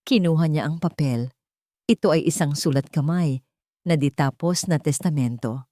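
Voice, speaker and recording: A woman's voice: low voice, normal speed, mellow, no room sound, clean studio sound. A woman storyteller reading a scripted dramatic story.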